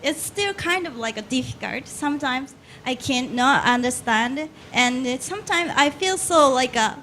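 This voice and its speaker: A woman's voice: high-pitched, animated, moderate pace, pushed and bright, slight background noise. A woman from Japan with a Japanese accent, asking a question from the audience, hesitant with many fillers. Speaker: audience member.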